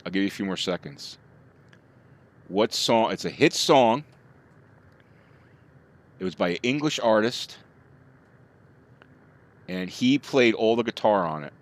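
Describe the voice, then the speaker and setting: A man's voice: lowish voice, animated, a little slow, pauses often. A middle-aged man chatting casually with his live chat while he plays, rambling from topic to topic. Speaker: streamer.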